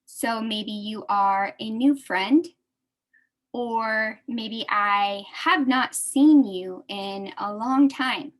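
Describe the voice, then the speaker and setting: A young American woman teaching a beginner English class, speaking slowly and repeating each phrase for her adult learners. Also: a little high, low-quality recording.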